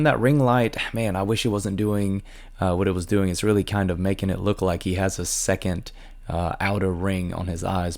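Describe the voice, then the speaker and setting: A man with a very low voice made raspy by a sinus infection. He talks in a relaxed, unscripted way, filling pauses with ums and uhs as he shows off his snakes. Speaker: hognose snake breeder. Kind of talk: casual explanatory show-and-tell.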